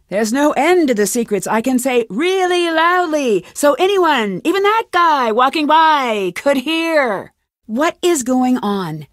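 A woman's voice: on the high side, no echo, studio quality. An American woman playing a determined young amateur detective in a video game, assertive and questioning. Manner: assertive, questioning, clearly enunciated. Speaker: game protagonist.

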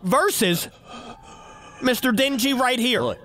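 A man's voice: very high voice, animated, slow pace, a little hiss or hum. A young man with headphones giving casual, exclamation-filled reaction commentary on a video. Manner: exclaiming, laughing, reading subtitles aloud. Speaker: YouTube reaction host. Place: indoor room. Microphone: studio mic with over-ear headphones. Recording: loud backing music under voice. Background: loud music from the reacted video.

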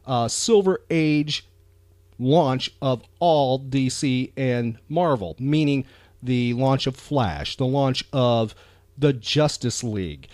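A man's voice: mid-range voice, takes it slowly, darkish tone, no room sound, clean studio sound. A man hosting a chatty pop culture podcast, talking with enthusiasm and emphasis, often repeating phrases for effect. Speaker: podcast host.

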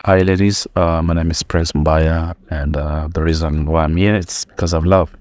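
Bachelor, thirties, from South Africa, very low and even-toned, laid-back. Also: male voice, moderate pace, no echo. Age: 30s. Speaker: bachelor contestant. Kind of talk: casual self-introduction.

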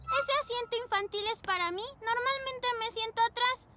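A woman's voice: very high voice, moderate pace. Eager little girl pug puppy. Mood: excited. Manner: eager, giggly, full of questions. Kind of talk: Spanish cartoon dub. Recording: cartoon score playing underneath.

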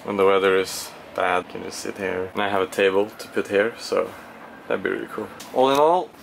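Male sailing vlogger, young adult, fairly deep voice, informal delivery, noisy handheld-camera sound. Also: brisk, gravelly. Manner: informal, thinking aloud. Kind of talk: casual vlog commentary. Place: small sailboat cockpit and cabin. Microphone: handheld camera at arm's length. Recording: noisy on-board camera audio, steady hum.

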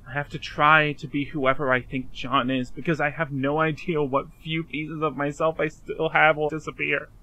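A man playing a frightened, despairing young man in an emotional fan-dub drama, confiding haltingly and pleading. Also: on the high side, pushed and bright.

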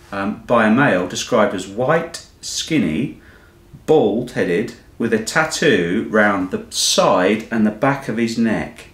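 A British man in his forties reading a local police news update to camera in a measured, formal, matter-of-fact way. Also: medium pitch. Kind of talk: semi-scripted police news bulletin read to camera. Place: indoors, small plain room on a sofa. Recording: echoey small room.

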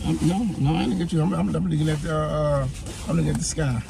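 A man's voice: on the high side, very fast, gravelly, heavy background noise. An older man, arguing in a car, stammering and repeating words, swearing often. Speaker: passenger uncle. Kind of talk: casual argument. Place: inside a parked car. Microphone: phone on dashboard.

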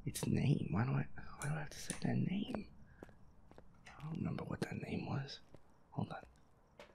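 A gamer with a breathy, whispery voice, nervously muttering to themself while playing through a horror game. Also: medium pitch, expressive, moderate pace, dark tone. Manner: nervous, muttering to self, reluctant. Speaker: solo gamer. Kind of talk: hushed let's-play commentary of a horror game.

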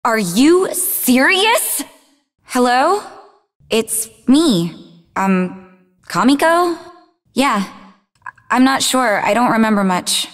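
A woman playing a sharp, sardonic young female spy in a video game, talking conversationally with a defiant edge. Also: a little high, big pitch swings, slow, long pauses. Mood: confused. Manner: sarcastic, defiant. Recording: long reverb on the voice.

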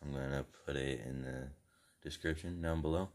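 Very deep young man, casual gamer. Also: fairly even intonation, normal speed, darkish tone. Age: teens to young adult. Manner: slangy, informal. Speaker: gaming video creator. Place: small plain room indoors. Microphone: phone at arm's length.

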